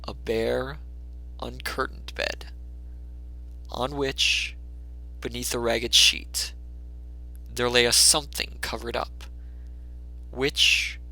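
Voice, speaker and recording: Male narrator for classic fiction: dramatic scripted read, forceful bright tone, some fry. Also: mid-range voice, rough recording. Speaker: storyteller, narrator.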